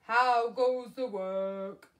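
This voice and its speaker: A woman voicing a lazy, demanding old male farmer in a storybook, with a drawn-out, booming call. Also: fairly even intonation, far from the mic.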